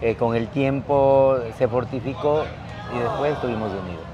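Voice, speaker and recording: A man's voice: mid-range voice, moderate pace, lo-fi sound, crowd noise. A married man answering a street interview in an agreeable way.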